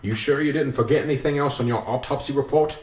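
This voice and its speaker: An actor playing a steady, dutiful small-town police investigator in a radio drama, measured, formal and dry. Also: male voice, mid-range voice, natural voice. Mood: weary, solemn. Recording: echoey room.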